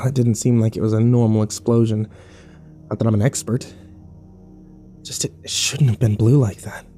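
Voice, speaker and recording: A man's voice: medium pitch, dark tone, breathy edge. An actor playing a battered, bitter young man who narrates his nightmare in terse, sardonic, profane fragments for a horror audio drama.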